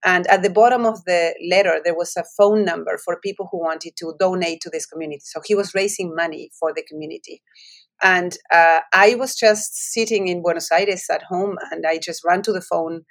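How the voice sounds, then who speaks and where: A woman's voice: on the low side, fairly even intonation, fairly quick. Argentine woman with a Spanish accent. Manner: reflective storytelling with frequent restarts. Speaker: author. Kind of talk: interview answers.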